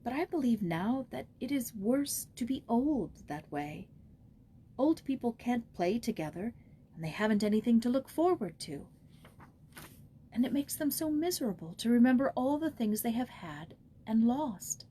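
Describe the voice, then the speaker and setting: An earnest, tender-hearted young girl , voiced by a female storybook reader, talks soberly and sincerely. The tone is mellow, with some background noise on the recording. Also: a little high, sing-song, normal speed, pitched above the performer's own voice. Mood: sober, thoughtful. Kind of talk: storybook character voice.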